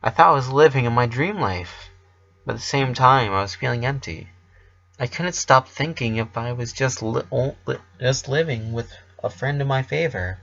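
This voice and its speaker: A man voicing a worried, caring female pony dress designer in a storybook reading, with a gentle, earnest, halting manner. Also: medium pitch, gravelly, highs cut off. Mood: gentle, reassuring.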